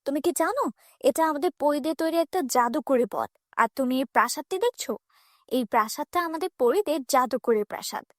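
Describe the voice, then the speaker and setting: A woman as a high, commanding fairy. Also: expressive, brisk, no room sound, studio quality. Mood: stern, questioning. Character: stern, watchful female fairy guarding a magic palace. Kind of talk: children's fairy-tale cartoon dub.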